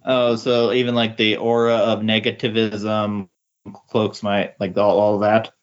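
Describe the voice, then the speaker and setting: A man with a fairly low voice chats casually as a podcast host. He talks haltingly, often doubling up his words as he reacts. The sound is somewhat dull and lo-fi. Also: level tone. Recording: dull, lo-fi voice recording.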